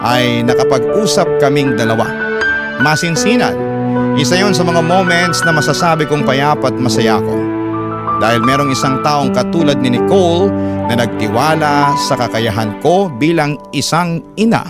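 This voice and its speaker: A man's voice: fairly high pitch, animated, normal speed. A man reading a first-person letter as a radio story host, in a dramatic, heartfelt storytelling style. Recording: loud music under the narration.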